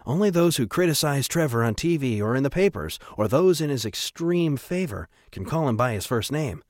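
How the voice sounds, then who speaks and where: A man's voice: mid-range voice, animated, normal speed, a little mellow, no echo, studio quality, pitched above the performer's own voice, lightly acted. A man voicing a wry, self-assured priest in an audiobook, with a knowing, teasing manner. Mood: wry, confiding. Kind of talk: audiobook character acting.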